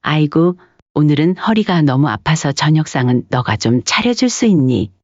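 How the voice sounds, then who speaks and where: Low-voiced, gentle older mother-in-law, acted by a woman. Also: expressive, moderate pace, no room sound, highs cut off. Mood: weary. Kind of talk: dramatized audio story dialogue.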